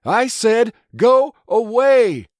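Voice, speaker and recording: Man acting out a ragged, high-shouting desperate woman. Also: very animated, very slow, dry recording, clean studio sound, exaggerated voice. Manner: bellowing.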